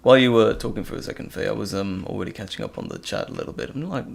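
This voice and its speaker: A man's voice: fairly low pitch, huge pitch swings, very fast, creaky. A man from Belgium with a Flemish accent whose first language is Dutch, hosting a live stream in casual, stop-start chat and laughing often. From Flemish region of Belgium.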